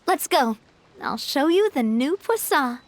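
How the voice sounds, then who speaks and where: A woman playing a poised, warm-hearted young female leader in an English video game dub, speaking in a reflective, earnest way. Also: high voice, sing-song, a little slow, a little hiss or hum. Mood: resolute. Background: music.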